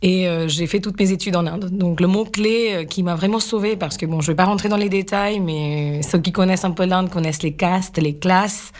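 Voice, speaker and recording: A woman's voice: fairly low pitch, steady tone, normal speed, few pauses, darkish tone. A woman, a Gujarati speaker, answering questions as a radio guest in a thoughtful way, stopping to find words. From India. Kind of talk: radio interview.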